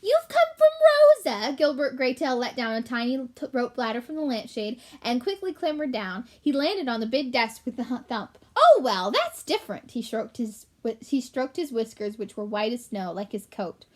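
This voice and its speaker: A woman's voice: fairly high pitch, normal speed, few pauses. A woman reading a children's book aloud, with explanatory asides for young listeners. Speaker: storybook reader.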